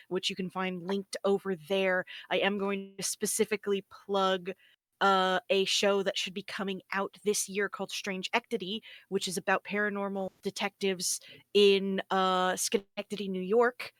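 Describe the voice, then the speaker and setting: A woman's voice: medium pitch, fairly bright tone, no echo. A woman with a mid-range voice in a very dry recording. She chats informally as a podcast performer. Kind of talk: casual chat and plugs.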